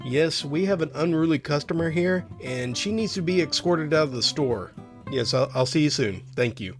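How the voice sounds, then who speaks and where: Man as calm male manager, authoritative, formal, firm, storytime figure, soft music behind. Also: on the high side, brisk. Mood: composed, official.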